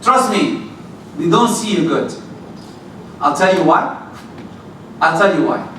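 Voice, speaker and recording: Middle-aged preacher with a loud, high, bright voice, lecturing youth from a distance in a very echoey hall. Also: male voice, slow. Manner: direct, rhetorical questions, animated. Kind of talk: religious talk to young people. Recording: very echoey hall, distant mic, faint noise.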